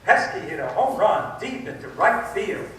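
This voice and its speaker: A man's voice: high voice, very animated, normal speed, fairly bright tone. An amateur actor, a man, playing a 1940s baseball radio announcer recapping a game on stage. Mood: announcing. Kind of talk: 1940s radio sportscast acting. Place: amateur club stage in an echoey hall. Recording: echoey hall from far off, faint noise.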